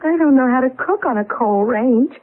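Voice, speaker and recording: An actress playing a frightened, observant American wife in a 1970s radio drama, anxious and insistent in manner. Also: female voice, high voice, animated, moderate pace. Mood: worried. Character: frightened, observant wife unnerved by uncanny events. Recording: tinny, very noisy 1970s radio broadcast.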